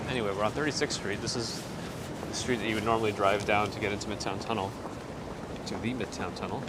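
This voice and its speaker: A man's voice: fairly low pitch, fast. A man strolls down a city street at sunrise and chats easily, reading out viewers' messages and answering them as he goes. His voice is recorded on a phone on a handheld gimbal, with heavy traffic and street noise all around him. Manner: relaxed, conversational, reads out and replies to chat messages. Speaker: live-stream walking host. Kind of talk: casual chat answering live viewer comments while walking. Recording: loud street noise outdoors.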